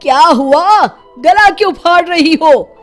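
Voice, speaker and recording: A woman's voice: extremely high pitch, animated, slow pace, fairly bright tone, soft background music, voice pushed up, heavily acted. A woman voicing a worried, irritable village father in a moral story, exclaiming in a blustering way. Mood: irritated. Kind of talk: dramatized moral-story dialogue.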